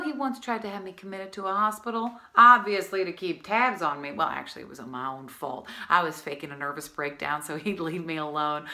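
A woman's voice: mid-range voice, bright, forceful tone. A woman acting a scatterbrained, self-deluded nightclub singer in a comic stage monologue, rambling with boastful, paranoid insistence.

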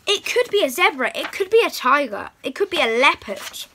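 High, bright young girl. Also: fairly quick, a little hiss or hum. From England. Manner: excited, encouraging. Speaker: host of a children's read-aloud channel. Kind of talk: presenting to young children, reading a picture book aloud. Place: small room at home, indoors.

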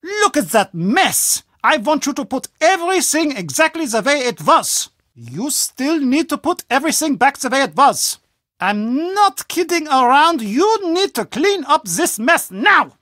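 Game role: self-important German burgomaster. High, unhurried, emphatic, fussy and excitable. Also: male voice, no echo, clean studio sound, full character voice. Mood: indignant. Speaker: castle Bürgermeister. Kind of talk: video game character acting.

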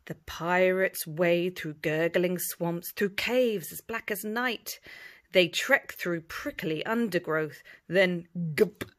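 A middle-aged woman reading a picture book aloud as a bedtime story, with dramatic delivery and hushed asides. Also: fairly low pitch, takes it slowly.

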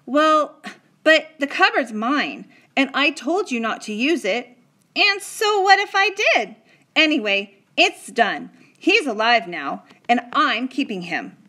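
A woman voicing an excitable young boy who yells and argues in a high voice. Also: slow pace, full character voice. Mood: defiant. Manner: shrill and yelling.

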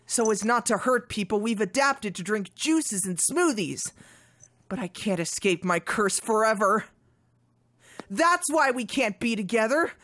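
A woman's voice: fairly high pitch, fairly bright tone. Woman playing a melodramatic, exasperated duck vampire. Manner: theatrical, exasperated. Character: exasperated, melodramatic male duck vampire heartthrob from comic parody. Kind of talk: live comic dub reading.

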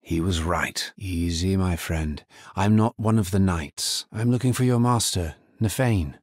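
An actor playing a grave, world-weary necromancer hero in a fantasy video game, speaking solemnly in measured, understated lines. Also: male voice, low-pitched, dry recording, studio quality. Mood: grave, determined.

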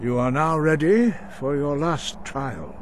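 An actor playing a solemn, reverent elder monk mentor in a fantasy video game, speaking in a measured, formal manner. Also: male voice, a little high, lively intonation, a little hiss or hum. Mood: grave, instructive.

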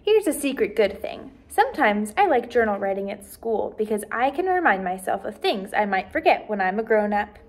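A woman in her twenties reading a children's book aloud with expressive, clearly enunciated, emphatic phrasing for young listeners. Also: mid-range voice, normal speed, hardly any pauses. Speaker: first grade teacher reading aloud.

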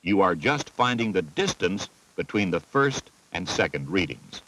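A deep-voiced American man reads scripted instructional narration for a training film. His delivery is methodical and instructive, with a lively rise and fall that sets off each step. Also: unhurried, slight background noise, low-quality recording. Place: voice-over recording.

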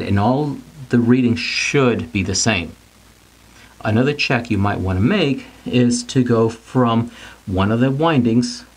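A man's voice: mid-range voice, slightly rough, low-quality recording. A middle-aged man giving an informal technical lesson, plain-spoken, repeating and rephrasing his points step by step. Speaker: technical instructor. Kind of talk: whiteboard lesson, informal explanation.

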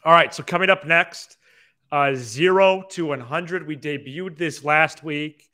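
A man's voice: a little high, a little slow, pushed and bright. A young man hosting a sports podcast in a casual style, on a close microphone in a small room. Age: young adult. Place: small indoor room on a video call. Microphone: close podcast microphone with headset.

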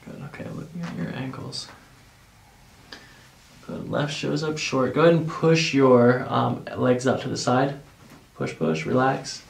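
Gravelly middle-aged male chiropractor giving soothing prompts. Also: medium pitch, fairly even intonation, a little slow, pauses often. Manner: short, soothing step-by-step prompts. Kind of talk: hands-on instructions to a patient during treatment. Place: small treatment office, indoors. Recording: faint noise behind voice.

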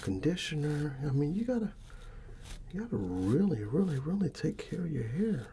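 A middle-aged American man doing a soft-spoken ASMR barber roleplay, breathy and often near a whisper, with an unhurried, halting, fatherly manner. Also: a little high, very animated, slight background noise. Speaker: ASMR performer playing a barber.

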